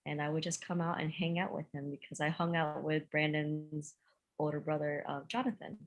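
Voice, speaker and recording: Low-voiced woman in her twenties. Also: steady tone, moderate pace, lo-fi sound. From Georgia, USA. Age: 20s. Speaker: podcast guest. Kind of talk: casual chat.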